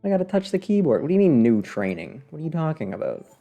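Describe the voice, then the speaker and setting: A man's voice: a little high, big pitch swings, quick pace, vocal fry, mellow, dull audio. A man giving casual live commentary on a video game, talking to himself and his viewers in a wry, sarcastic way. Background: game music.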